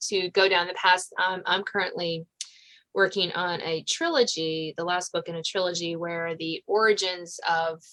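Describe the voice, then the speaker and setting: A woman's voice: fairly low pitch, bright, forceful tone, low-quality recording. A woman hosting a podcast, talking as an author in a conversational interview style.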